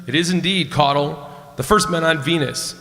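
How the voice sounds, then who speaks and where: An actor playing a dutiful space expedition commander in a comic radio play, formal and wry. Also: male voice, on the high side, moderate pace, sharp tone. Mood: formal, exasperated. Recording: echoey hall with long reverb.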